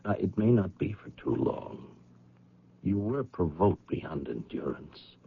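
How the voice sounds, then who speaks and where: Very deep, gravelly, persuasive confessor. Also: male voice, sing-song, measured pace, full character voice. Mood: gently persuasive. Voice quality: gravelly, husky. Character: grave, persuasive man coaxing a guilty woman to confess. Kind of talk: radio drama acting. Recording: muffled, narrow-band old radio broadcast.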